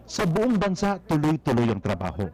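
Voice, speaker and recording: A man's voice: on the high side, big pitch swings, moderate pace, darkish tone, low-quality recording. A man with a Filipino accent reading scripted narration for a news feature.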